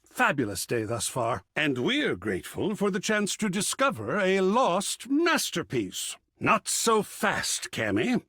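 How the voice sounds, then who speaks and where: An actor playing a flamboyant, gushing, art-obsessed living artwork in a video game, with a theatrical, affected delivery that savours each word. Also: male voice, sits high, wildly swooping pitch, unhurried, bright, forceful tone, no room sound, clean studio sound, exaggerated voice. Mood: excited, grand.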